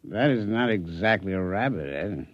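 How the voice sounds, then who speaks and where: An actor playing a skeptical, grumbling, somewhat pompous hard-drinking hunter with a dry comic manner, in a dull-sounding 1950s radio comedy. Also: male voice, low voice, expressive, moderate pace.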